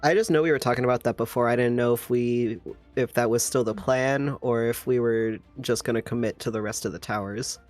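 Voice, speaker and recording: A man's voice: medium pitch, fairly even intonation, a little fast, darkish tone, faint music behind. A man playing a tabletop game, chatting casually and a little hesitantly as he thinks aloud.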